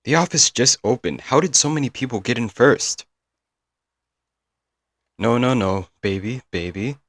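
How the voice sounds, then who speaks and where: Man acting as deep-voiced lovesick broke kidnapper. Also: a bit bright, no room sound, studio quality, exaggerated voice. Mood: panicked, pleading. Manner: coaxing, rambling, pet names, nervous chuckles. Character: lovesick broke young male yandere kidnapper, doting, apologetic. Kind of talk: comedic roleplay audio drama, intimate monologue to listener.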